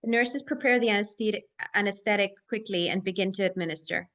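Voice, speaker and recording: A female narrator with a medium-pitched voice reads scripted stage directions. She delivers them as descriptive narration for a screenplay table read. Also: fast talker, a bit bright.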